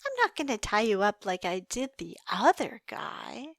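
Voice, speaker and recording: A young woman playing an obsessive, sweetly menacing kidnapper in an intimate roleplay, cooing and teasing with deliberate delivery that slips into whispers. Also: mid-range voice, big pitch swings, a bit bright, dry recording, clean studio sound, voice pushed down. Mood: adoring, obsessive. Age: young adult. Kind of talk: intimate scripted roleplay monologue.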